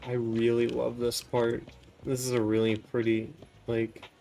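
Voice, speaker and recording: A man streams a video game and talks to his viewers in a casual, musing way. He takes his time, and his voice often drops into a creaky fry. Soft music plays faintly behind him. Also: medium pitch, completely flat delivery, unhurried. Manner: musing aloud, chatting to viewers.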